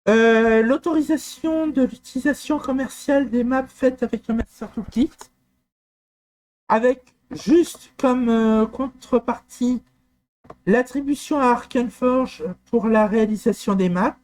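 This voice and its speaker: A man from France talking through a software walkthrough, hesitant with frequent fillers and restarts. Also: extremely high pitch, measured pace. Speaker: software tutorial presenter. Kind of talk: screen-recorded walkthrough.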